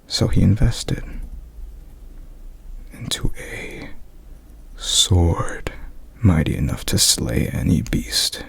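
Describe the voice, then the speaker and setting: A young man speaks in a hushed ASMR whisper, with a low pitch underneath. He rambles and spins little stories playfully, lingering on his phrases at an unhurried pace. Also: sing-song, vocal fry, breathy edge. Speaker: ASMR video creator.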